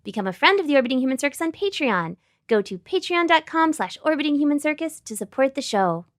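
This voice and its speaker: A woman's voice: high-pitched, sing-song, fast talker, no room sound, clean studio sound. A woman announcer reading a scripted promo.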